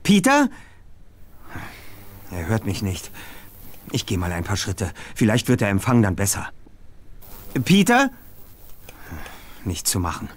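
A brainy teenage detective in an adventure game, speaking slowly and precisely with a very lively, swinging pitch. He sounds self-assured and formal in his wording. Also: a little hiss or hum, exaggerated voice. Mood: concerned. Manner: precise, deliberate, formal diction. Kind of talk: German adventure game voice acting.

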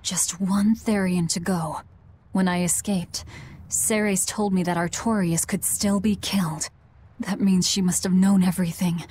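A woman's voice: fairly low pitch, fairly even intonation, unhurried, darkish tone, a little hiss or hum, lightly acted. A woman voicing a driven, brooding young avenger with a terse, hard-edged manner in a video game.

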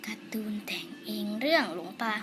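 A woman's voice: fairly high pitch, animated, a little fast, over music. A woman telling a story casually to viewers, addressing them politely and directly as host. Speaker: host reading a homemade comic.

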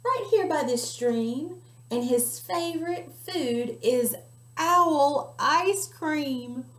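A woman voicing a small, clever brown mouse who bluffs hungry predators, dropping to a hushed whisper. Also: sits high, animated, very slow, roomy sound, voice pushed up, full character voice. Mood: sly, hushed. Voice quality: hushed, whispery when telling of the beast.

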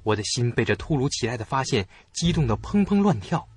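A man's voice: mid-range voice, sing-song, fairly quick, husky. A man narrating a suspense story in a dramatic, theatrical audio-drama style. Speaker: first-person narrator of a horror story.

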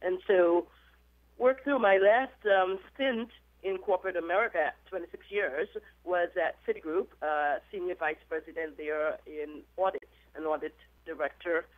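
A woman's voice: fairly low pitch, normal speed. A middle-aged woman from Guyana, a minister calling in to a radio interview and telling her life story in a reflective way. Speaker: guest, minister and author. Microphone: telephone. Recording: tinny phone line.

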